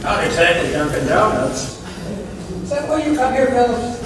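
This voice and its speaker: A man's voice: high voice, sing-song, normal speed. A man acting on a community theatre stage as an affable, fatherly former parole officer, casual, wry and blunt. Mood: wry, cautious. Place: indoor theatre stage, mic far off. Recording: distant, very echoey stage recording with clear noise.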